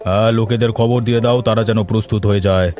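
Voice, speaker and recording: A man voicing a village headman in a cartoon, giving instructions briskly with music behind him. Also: lowish voice, steady tone, darkish tone, close to an everyday voice. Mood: commanding. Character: village headman, decisive older man in charge. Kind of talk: cartoon voice acting.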